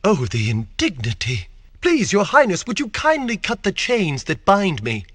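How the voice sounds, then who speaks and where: A man's voice: sits high, wildly swooping pitch, unhurried, no room sound, full character voice. An actor playing a pompous, courtly town mayor in a cartoon video game, formal and stuffy in his politeness. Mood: indignant, pleading. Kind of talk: 1990s video game cartoon cutscene acting.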